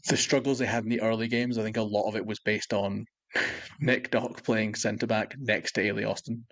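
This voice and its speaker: A man's voice: on the low side, flat delivery, moderate pace, a bit bright. A man co-hosting a sports podcast, chatting casually about football in an analytical, matter-of-fact way.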